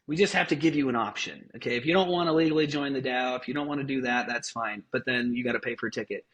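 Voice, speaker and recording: This is a man at an ordinary middle pitch, talking as a guest in an interview. His delivery is loose and energetic, with casual swearing and plenty of false starts. He sounds like he's thinking out loud as he goes. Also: fast. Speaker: guest, festival founder.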